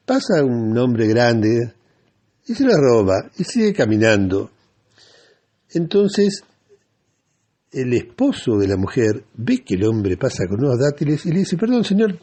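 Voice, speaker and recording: A man hosts a radio show in a Rioplatense Argentine accent. His voice is mellow and somewhat rough-edged, and he speaks slowly. He unfolds a reflective monologue in a halting, deliberate way, pausing as he thinks. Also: mid-range voice.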